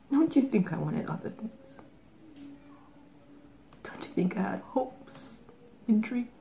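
Woman acting as hurt wife in a stage drama monologue, highish voice, whispery then loud, muffled audio. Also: vocal fry, full character voice. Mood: indignant, wounded. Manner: emotional, swinging between whispers and loud outbursts. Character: anguished, long-suffering wife confronting her husband.

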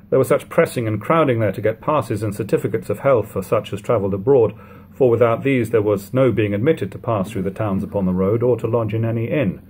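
A man in his thirties reading a classic novel aloud at home, in a measured, steady way, letting sentence ends fall quiet. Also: mid-range voice, a little hiss or hum. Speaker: audiobook reader. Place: living room at home.

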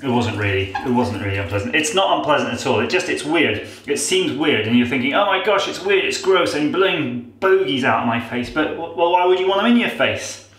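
A man's voice: a little high, lively intonation, fairly quick, a bit bright. Nasal, chatty man in an echoey bathroom. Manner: chatty, self-mocking, rambling asides. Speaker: vlogger. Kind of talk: casual how-to demo. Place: small tiled bathroom. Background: running tap water.

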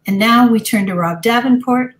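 A woman, a dean, reading formal prepared remarks in a measured way at a virtual town hall. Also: a little high. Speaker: dean and committee chair.

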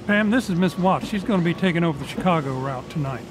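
A high-pitched, businesslike male trucking dispatcher voiced by a man in an audio drama. He talks briskly and insistently, over loud ambient vehicle noise. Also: animated, lightly acted. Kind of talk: naturalistic audio drama dialogue. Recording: noisy ambience with vehicle sounds.

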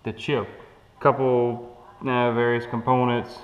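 A young man talks through his homemade electronics demo in a loose, offhand way, pausing to think aloud. His voice sits at a middle pitch. It is picked up by a camera in a tiled living room, and a long reverb trails after him. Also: flat delivery, highs cut off. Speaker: hobbyist electronics builder. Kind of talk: casual demo narration. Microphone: action camera on the room.

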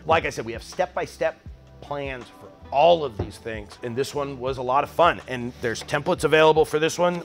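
A man's voice: mid-range voice, fairly bright tone. A man in his forties hosting a woodworking channel, talking casually and enthusiastically straight to camera in his shop. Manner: enthusiastic, upbeat, conversational with fillers. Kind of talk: casual direct-to-camera pitch. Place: mostly empty woodworking shop, indoors.

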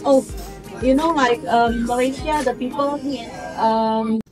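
A woman's voice: a little high, steady tone, takes it slowly, music in the background, low-quality recording. A young woman from Indonesia, chatting and laughing easily in a café. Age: young adult. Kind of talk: casual chat. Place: indoor café and mall.

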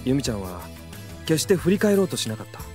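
An actor playing a reflective young man who narrates an anime audio drama in a measured, pensive way. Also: mid-range voice, very animated, a little mellow, faint music behind, natural voice. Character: reflective young male narrator recalling school romance. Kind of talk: scripted first-person drama narration.

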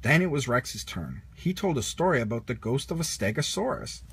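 A man reading a children's story aloud in an animated manner with a husky voice. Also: medium pitch, normal speed. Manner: animated, puts on character lines. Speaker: storybook reader.

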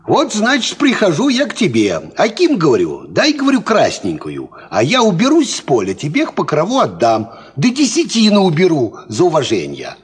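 Very animated husky peasant man. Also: on the high side, moderate pace, few pauses, exaggerated voice. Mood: confident explaining. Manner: folksy, animated, instructive. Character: worldly, cocksure peasant farmhand explaining to simpler folk. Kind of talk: 1950s Soviet radio play acting.

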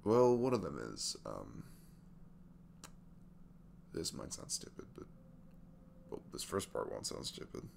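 A man in his twenties playing a sweet, easygoing single dad in an intimate ASMR audio roleplay, chatting haltingly in a velvety voice. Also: huge pitch swings, a little mellow, a little hiss or hum, full character voice. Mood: candid, slightly sheepish. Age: 20s. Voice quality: deep, gravelly velvet voice. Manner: halting, chuckling, conversational.

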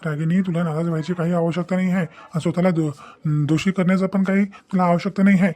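A man's voice: high voice, level tone, fast talker, mellow, natural voice. A man voicing a devoted, reassuring young groom in a cartoon family melodrama, explaining himself insistently and repetitively. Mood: reassuring, earnest.

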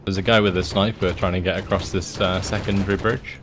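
A man chatting casually with a teammate during an online game, relaxed and laughing off his own deaths. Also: sits low, brisk, background noise. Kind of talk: casual gameplay chat.

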